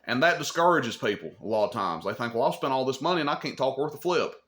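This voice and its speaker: A man's voice: medium pitch, animated, fast talker, fairly bright tone. A man giving an unscripted, folksy explainer as a video host, stressing and repeating his points and checking in with the listener. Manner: plainspoken, folksy wording. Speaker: CB radio shop video host. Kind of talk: unscripted explainer talk to camera.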